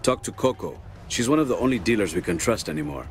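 A man's voice: lowish voice, very animated, quick pace, soft background music. A man acting a loyal, anxious small-time drug dealer in a video game, talking in a streetwise, confiding way.

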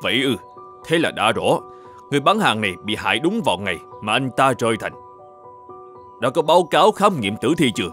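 A resolute magistrate in a cartoon folk tale. His mid-pitched voice moves widely in tone, formal and commanding, with music underneath. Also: big pitch swings, fairly bright tone. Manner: authoritative, measured, formal. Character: upright, determined provincial governor and magistrate.

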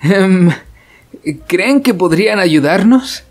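A woman plays an energetic teenage cartoon boy. He is blunt but friendly, with a very animated mid-pitched voice and soft music behind him. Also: slow. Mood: pleading, blunt. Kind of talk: fandub comic acting.

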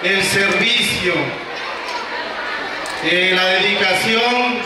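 A man with a Mexican accent, a preschool director, giving a slow, heartfelt ceremony speech and raising his voice to carry over the event. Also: high-pitched, steady tone, very noisy. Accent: Mexican Spanish. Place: covered school patio at a crowded graduation. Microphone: handheld mic through PA, filmed from afar. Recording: echoey PA sound from far off, loud crowd.

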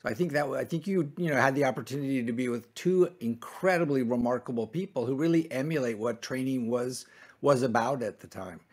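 Middle-aged male interviewer, mid-pitched. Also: fairly quick, darkish tone, breathy edge. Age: 50s. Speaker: interviewer, physician. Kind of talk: conversational interview. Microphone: desk microphone close in front, video call.

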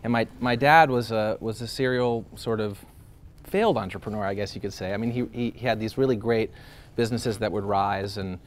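A man's voice: fairly low pitch, fairly quick, slight background noise. A man in his thirties, born in Greece, talking casually in an interview with lots of fillers, restarts and laughs. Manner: rambling, many fillers and restarts, laughs often.

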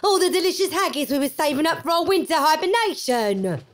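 A woman's voice: high-pitched, big pitch swings, a little fast. A woman playing a fussy, menacing old mother bear in a live comedy audio drama, theatrical and fretful. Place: small theatre stage before an audience. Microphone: microphone on a stand.

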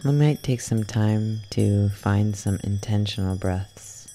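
Very dark, slow, soft-spoken meditation guide. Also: deep voice, slight background noise. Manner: soft-spoken, soothing, unhurried delivery with long pauses. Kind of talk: ASMR guided yoga nidra meditation.

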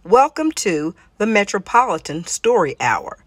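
A woman reading a picture book aloud to children as an expressive story hour narrator. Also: mid-range voice, a little slow, dry recording.

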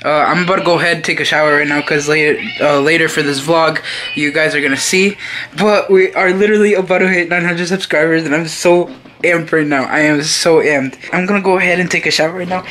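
A young man talking excitedly to his phone camera in a bedroom, full of slang. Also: a little high, fast, slight background noise. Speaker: vlogger. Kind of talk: casual vlog chat.

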